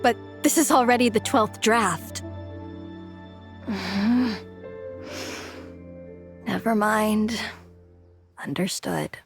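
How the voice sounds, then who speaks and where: A woman's voice: medium pitch, extremely slow, long pauses, faint music behind. A woman voicing a weary, overworked young female office artist in an anime game, restrained and hesitant. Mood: weary, resigned.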